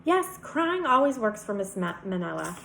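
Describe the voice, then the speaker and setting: A woman's voice: medium pitch, very animated, normal speed, creaky, a bit bright, slight background noise. A woman voicing a pushy, lovestruck schoolgirl with a syrupy, emphatic manner for a children's storybook. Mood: confident.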